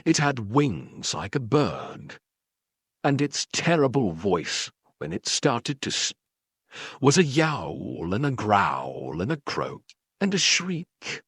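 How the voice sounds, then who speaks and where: Animated, unhurried male storyteller. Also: mid-range voice, slightly rough, no echo, studio quality. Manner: expressive, dramatic. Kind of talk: animated children's read-aloud narration. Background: background music.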